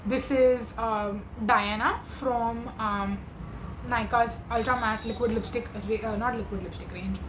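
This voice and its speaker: A young woman talks through her makeup in an eager, rambling way, full of fillers. Her voice sits a little high and is going hoarse. The room echoes, with a faint hum behind her. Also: steady tone, measured pace. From India. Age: 20s. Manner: chatty and gushing, with many fillers. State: losing her voice. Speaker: beauty vlogger. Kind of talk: casual makeup chat to camera.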